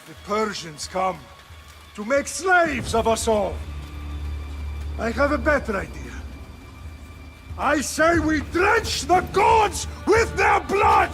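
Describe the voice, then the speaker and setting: A man's voice: very high-pitched, huge pitch swings, takes it slowly, pauses often, full character voice. An actor playing a defiant Spartan warrior king in a game, delivering emphatic oratory to soldiers before battle. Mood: fierce rallying. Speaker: military leader. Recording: loud score and effects behind. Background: dramatic music.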